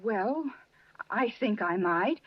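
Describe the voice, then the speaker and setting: A woman's voice: mid-range voice, animated, slow, no echo. An actress playing a frightened, hesitant woman witness, speaking earnestly in a 1950s radio police drama. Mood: shaken. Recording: muffled old radio recording.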